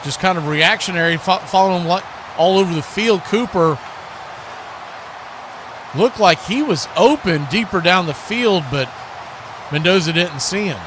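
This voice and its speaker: A man's voice: sits high, lively intonation, normal speed, pauses often. A man doing live play-by-play commentary of an American football game as the announcer. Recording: loud background noise.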